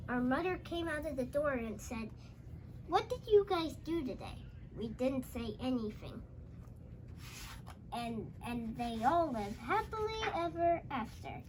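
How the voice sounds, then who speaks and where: A child host retelling a storybook aloud, halting and repeating phrases, with exclaimed dialogue. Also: high voice, very animated, slight background noise, low-quality recording.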